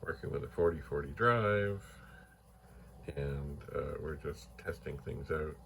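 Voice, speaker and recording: A soft-spoken man casually thinking aloud while testing old computer gear in his home computer room, trailing into whispered asides. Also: very deep voice, a little slow, creaky, a little hiss or hum. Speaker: retro computer vlogger. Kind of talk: casual rambling narration while testing hardware. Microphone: phone mic.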